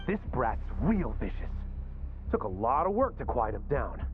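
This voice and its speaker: Man voicing a tinny, gruff, irritable henchman. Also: medium pitch, very animated, moderate pace, natural voice. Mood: irritated. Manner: gruff, scornful. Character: callous, irritable male henchman herding test subjects. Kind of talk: video game voice acting. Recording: tinny phone-like filter. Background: game music.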